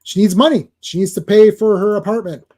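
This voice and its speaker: A man's voice: high-pitched, fairly even intonation, fairly quick, mellow. A man hosting a casual comics livestream, chatting through a comic and greeting viewers in the chat.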